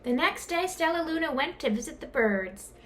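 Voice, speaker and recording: High-voiced, animated woman reading a storybook. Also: moderate pace. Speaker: storyteller, teacher. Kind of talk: expressive children's picture-book reading.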